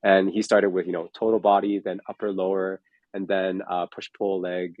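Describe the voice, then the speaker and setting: A man's voice: low voice, flat delivery, normal speed, no room sound, narrow, tinny audio. A man in his forties, a fitness coach, talking in a casual chat, rambling with lots of fillers and restarts. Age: 40s.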